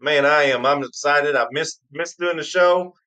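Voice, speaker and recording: A man's voice: a little high, normal speed, sharp tone. A man hosting a bourbon tasting live stream, chatting eagerly and rambling through his stories. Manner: enthusiastic, rambling storyteller. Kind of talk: casual live-stream banter.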